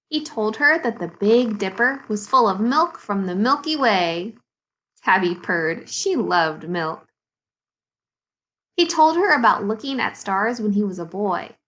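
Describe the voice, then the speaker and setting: A woman teacher reading a storybook aloud to second graders in an expressive, deliberate way, with dramatic pauses. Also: mid-range voice. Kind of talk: storybook read-aloud for young children.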